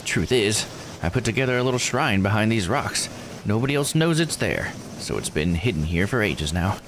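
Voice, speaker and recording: A man voicing a kindly old island grandmother in a visual novel, folksy, unhurried and dryly joking. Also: fairly low pitch, very animated, background noise, voice pushed up. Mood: folksy reassurance. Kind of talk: visual novel character read aloud.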